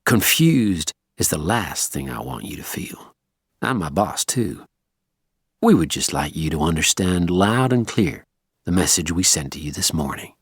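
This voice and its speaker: A man's voice: sits low, very animated, a little slow, husky, dry recording, studio quality, full character voice. A man voicing a polite but menacing Southern American heavy, courtly with a steely edge, in a Southern US accent.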